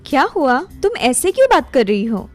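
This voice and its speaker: A woman voicing a greedy, conniving female relative in an animated story, persuasive and conspiratorial. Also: high voice, moderate pace, no room sound, soft background music.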